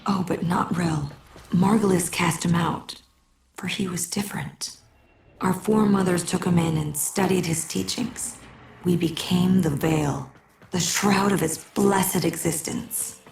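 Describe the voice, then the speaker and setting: A woman playing a devout, mystical cult guardian in a game, solemn and incantatory with a breathy, creaky voice. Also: on the low side, fairly even intonation, unhurried, darkish tone. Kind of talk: dramatic game voice acting. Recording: echoey reverb with prominent music behind.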